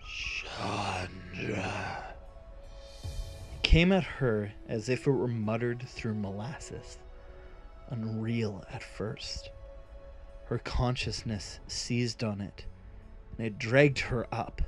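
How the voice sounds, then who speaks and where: A man who hosts the channel reading a fantasy story aloud in a measured, deliberate way. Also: lowish voice, faint music behind. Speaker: channel host and narrator. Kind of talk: scripted story narration.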